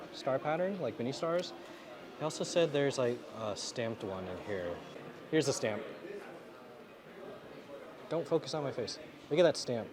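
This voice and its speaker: A man vlogging about trading cards away from home, talking to camera in a chatty, laid-back way and laughing easily. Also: mid-range voice. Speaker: card-collecting vlogger. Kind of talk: casual vlog talk to camera.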